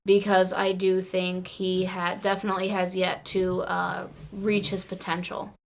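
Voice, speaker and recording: A woman's voice: fairly low pitch, completely flat delivery, a little slow, creaky. A woman chatting casually and earnestly as a panelist on a wrestling podcast. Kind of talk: casual wrestling fan chat.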